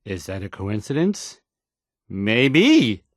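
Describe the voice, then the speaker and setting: Nervous, stammering radio DJ. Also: male voice, lowish voice, wildly swooping pitch, dry recording, clean studio sound, heavily acted. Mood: awkward, unsure. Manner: halting, stammering, hesitant, unsure. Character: nervous, bumbling, insecure male small-time radio DJ. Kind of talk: in-character radio news broadcast, video game voice acting.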